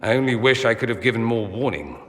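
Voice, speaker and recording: A flamboyant noble mage from a fantasy game, acted by a man, with a bright male voice that leaps up and down theatrically. He is witty, sardonic and self-assured, ringing through a long hall reverb. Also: mid-range voice, lively intonation, fast, lightly acted. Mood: suave, self-assured. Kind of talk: video game dramatic acting.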